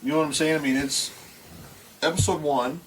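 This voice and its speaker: A man's voice: a little high, fairly even intonation, a little fast, fairly bright tone, slight background noise, low-quality recording. A man in his forties co-hosting a casual podcast, talking in a rambling way with many restarts. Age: 40s. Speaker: podcast co-host. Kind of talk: casual chat. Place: basement.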